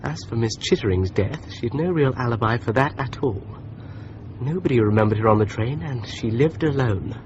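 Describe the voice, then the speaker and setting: Musing male sleuth, played by a man, calm inner voice, hiss under a dull radio-play recording. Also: mid-range voice, normal speed, natural voice. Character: thoughtful male amateur sleuth driving. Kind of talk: radio drama.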